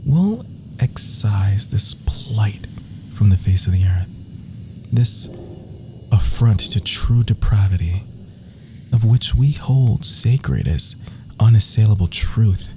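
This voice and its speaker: A man playing a slow, deep, whispering villain lover. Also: lively intonation, background noise. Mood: devoted, sinister. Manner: florid, dramatic, intimate, often whispering. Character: adoring, menacing, theatrical dark villain lover. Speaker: ASMR roleplay performer. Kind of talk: whispered ASMR villain roleplay acting.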